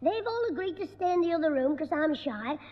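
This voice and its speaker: Cheeky schoolboy, very high piping voice, bossy, old echoey radio sound. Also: male voice, expressive, fairly quick. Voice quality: piping boyish voice. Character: cheeky scheming small schoolboy. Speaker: star of the show. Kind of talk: 1960s radio sitcom acting. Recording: echoey old radio studio recording, dull.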